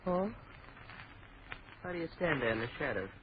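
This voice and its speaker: A man playing a frightened, high-strung traveller in an old radio horror drama, agitated and pleading as he repeats himself. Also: big pitch swings, moderate pace. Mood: anxious, pleading. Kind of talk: 1930s-40s radio horror acting. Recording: muffled old radio recording, some noise.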